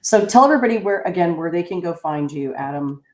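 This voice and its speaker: A woman hosting a radio talk show, chatty and halting, with many restarts. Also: on the low side, animated, darkish tone, reverberant. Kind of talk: casual radio chat.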